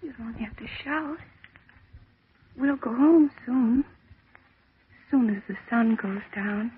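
An actress in an old-time radio drama playing a dazed woman retreating into a serene delusion, speaking in a dreamy, halting, childlike way. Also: a little high, slight background noise. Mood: dreamy, soothing. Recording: muffled, tinny old radio recording.

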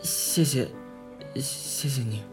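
A man playing a timid teenage boy in a modern audio drama, speaking politely and haltingly. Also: medium pitch, soft background music, close to an everyday voice. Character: shy, timid teenage boy, new at school.

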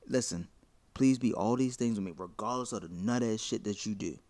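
Low gravelly dude talking blunt and heated, swears a lot. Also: male voice, a little fast, a little mellow. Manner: blunt, profane, emphatic. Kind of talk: heated casual debate.

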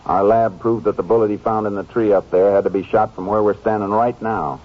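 An actor playing a calm, relentless Texas Ranger questioning a suspect in a quiet, firm way in a 1950s radio drama. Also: male voice, on the low side, fairly quick, lightly acted. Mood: firm, accusing. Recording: noisy, muffled old radio recording.

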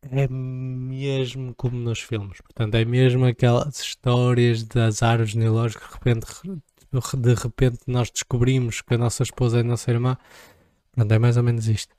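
A man's voice: medium pitch, mellow, dry recording, clean studio sound. A young man from Portugal with a European Portuguese accent, chatting casually to camera in a joking, rambling way. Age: young adult. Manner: joking, rambling, playful asides. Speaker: vlogger.

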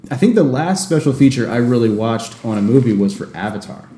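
A man's voice: medium pitch, expressive, brisk, mellow, echoey room, slight background noise. A man hosting a podcast, chatting casually and joking, often laughing as he rambles. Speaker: podcast host.

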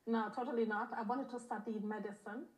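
A young woman talks casually as a podcast guest, laughing easily and circling back with plenty of ums. Her voice sits a little on the high side. She restarts her sentences often as she thinks aloud. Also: steady tone, fairly quick, a little mellow, poor audio. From Germany. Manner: chatty, giggly, with many fillers and restarts. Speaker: guest, pleasure and intimacy coach.

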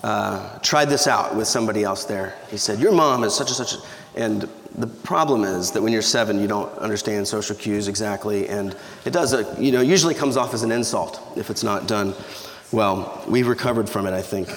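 Scratchy-voiced pastor in an echoey church. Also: male voice, on the low side, moderate pace. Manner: conversational, humorous, with restarts. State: scratchy voice from allergies. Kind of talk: sermon. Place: church sanctuary. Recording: echoey church hall with reverb.

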